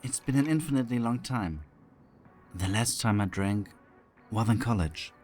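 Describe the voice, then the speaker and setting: An actor plays a teasing older brother in an audio drama. His voice is on the low side, with a dry, casual delivery, and music plays underneath. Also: expressive, normal speed, darkish tone, natural voice. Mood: subdued. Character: sluggish, teasing German man, an older brother.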